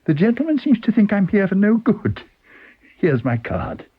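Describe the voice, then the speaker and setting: A working-class policeman from a radio story, played by a male narrator. He talks colloquially, soothing and firm at once, with lively pitch and a slightly rough edge. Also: moderate pace, phone-quality sound, full character voice. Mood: reassuring.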